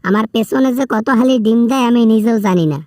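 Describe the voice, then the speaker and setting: A woman's voice: fairly high pitch, fast, darkish tone, no room sound, studio quality, lightly acted. A woman with a Bangladeshi accent playing a whining male prisoner in a comic phone skit, pleading and repeating words in agitation. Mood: flustered, complaining. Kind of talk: comic prank-style phone call skit.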